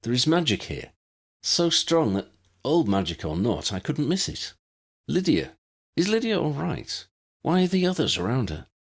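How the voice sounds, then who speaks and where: A man's voice: mid-range voice, very animated, moderate pace, slightly rough, voice pushed up. A man voicing an earnest young wizard hero in an audiobook story, direct, alert and concerned. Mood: anxious.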